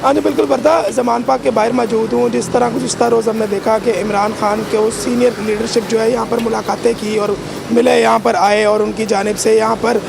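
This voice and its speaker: A man's voice: very high-pitched, fairly even intonation, brisk, vocal fry. A man reporting live outdoors with a sore throat, rambling with many fillers. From Pakistan. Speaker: field reporter. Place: outdoors by a street. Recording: noisy outdoor street with traffic.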